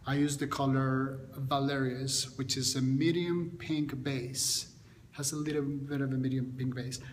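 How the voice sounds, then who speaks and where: A middle-pitched man in his forties, speaking English, walks viewers through a makeup tutorial. He talks haltingly, often restarting and repeating words. The recording has a long reverb and a faint hiss behind it. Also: level tone. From Colombia. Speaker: makeup artist.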